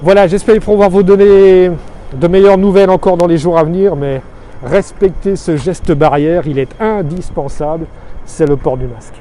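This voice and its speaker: A middle-aged man from Strasbourg in France giving an earnest, explanatory video update in short, deliberate phrases, recorded outdoors on a street. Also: high voice, mellow. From Strasbourg, Alsace, France. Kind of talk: informal spoken video report, explanatory. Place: outdoors on a busy city street corner. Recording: outdoor street noise, passing traffic. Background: faint urban traffic ambience.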